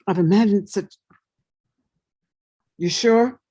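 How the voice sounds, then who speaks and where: A woman's voice: mid-range voice, slow, warm tone, slightly rough. An actress playing a guarded, indignant mother in her forties, curt and suspicious, in a dramatic stage scene. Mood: guarded, probing. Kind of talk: dramatic two-person scene reading.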